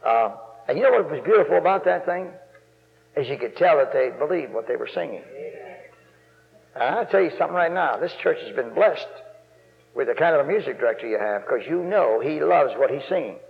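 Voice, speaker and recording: A man with a gravelly voice preaches a sermon, folksy and rambling, then rising to loud, emphatic stretches. His voice carries a long reverb from the church, and the recording sounds muffled. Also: animated, a little fast. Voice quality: gravelly bass voice. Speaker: guest preacher. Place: church sanctuary.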